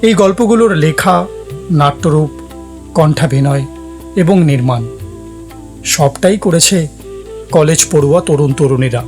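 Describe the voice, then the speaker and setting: A man's voice: fairly high pitch, unhurried, long pauses, a little mellow. A man giving a formal, scripted introduction as the presenter of a story series. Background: background music.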